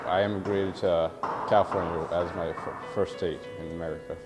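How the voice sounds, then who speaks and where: A man's voice: low-pitched, steady tone, normal speed. A young man born in Thailand, speaking casually about growing up. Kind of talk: personal interview testimony. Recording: music bed under the voice.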